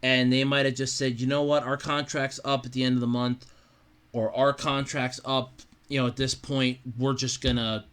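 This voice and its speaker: Bright, forceful male podcast host. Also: medium pitch, little pitch movement, moderate pace, clean tone. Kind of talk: casual solo news commentary.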